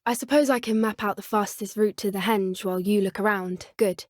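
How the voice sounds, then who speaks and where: A woman with a British accent voicing an earnest, soft-spoken teenage witch who loves animals, in a video game. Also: medium pitch, fairly even intonation, brisk, dry recording, studio quality, natural voice. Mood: thoughtful, earnest.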